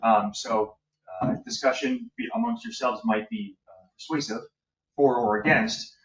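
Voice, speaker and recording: A man advising a zoning board on its legal standard, explaining in a halting way with many fillers. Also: medium pitch, sing-song, fairly bright tone, slight background noise. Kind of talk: explaining legal procedure at a meeting. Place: village hall meeting room. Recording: echoey room, distant muffled mic.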